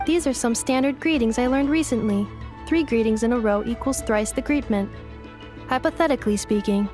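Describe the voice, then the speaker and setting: Woman voicing a polite, literal-minded robot girl, higher voice. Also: fairly quick, over music, natural voice. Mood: flat, inquisitive. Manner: stilted, formal, emotionless robotic phrasing. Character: polite literal-minded female robot relearning how to converse. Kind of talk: scripted video game dialogue.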